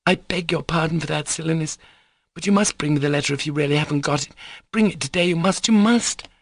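A man reading an audiobook, voicing an imperious, excitable teenage girl who is bossy, urgent and exclamatory. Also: a little high, quick pace, vocal fry, dry recording, voice pushed up. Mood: insistent.

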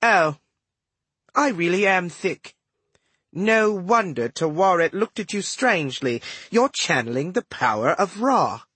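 A sarcastic young sister in a storybook, put on by a man reading aloud. The voice sits high and moves around a lot. She is snappy and wry, covering up hurt. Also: lively intonation, a little slow, sharp tone, slightly rough, no echo, exaggerated voice. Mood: marveling, wry.

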